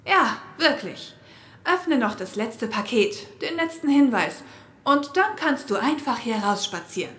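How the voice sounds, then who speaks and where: A woman's voice: on the high side, big pitch swings, measured pace, fairly bright tone. A woman playing a vengeful girlfriend in a horror audio drama, taunting and gloating with false sweetness. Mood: falsely sweet. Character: vengeful young girlfriend, mockingly sweet and cruel. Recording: echoey room, faint background noise.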